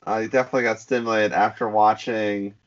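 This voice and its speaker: A man co-hosting a casual podcast, relaxed and wry, with lots of fillers. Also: on the low side, fairly quick. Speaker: podcast co-host. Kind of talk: casual banter. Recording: dull lo-fi sound.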